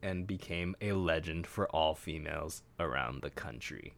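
A man's voice: extremely low pitch, normal speed, gravelly, fairly bright tone. A man co-hosting a casual chat podcast, reading passages aloud from a web page and breaking off for wry asides. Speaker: podcast co-host.

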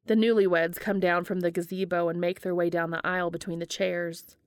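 A woman's voice: fairly low pitch, little pitch movement, a little fast, clear, resonant tone, dry recording, studio quality. A woman reading scripted fiction aloud as an audiobook narrator. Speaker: fan fiction audiobook reader.

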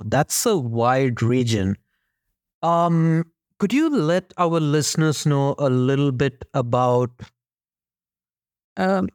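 A man's voice: medium pitch, darkish tone, no echo, clean studio sound. A young man hosting an interview podcast, chatting casually in a friendly, rambling way full of fillers. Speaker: podcast host.

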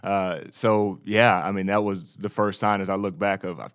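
A man's voice: low voice, steady tone, normal speed. An American man from Texas, a podcast co-host, telling his own story in a casual, conversational way. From Texas, United States.

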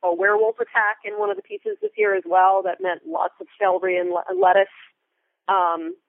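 Creaky-voiced woman on phone line. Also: mid-range voice, no echo. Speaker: interview guest, Foley artist. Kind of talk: casual interview storytelling. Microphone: phone or remote call. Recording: tinny, narrow phone-like line.

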